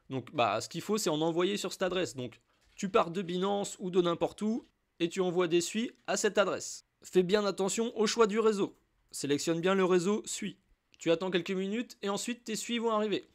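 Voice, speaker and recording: A French man with a slight cold, explaining a crypto wallet in a casual, chatty screen-share tutorial, talking straight to the viewer. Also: high voice. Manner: informal, addresses viewer as "tu", chatty asides. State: has a cold. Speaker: crypto YouTuber.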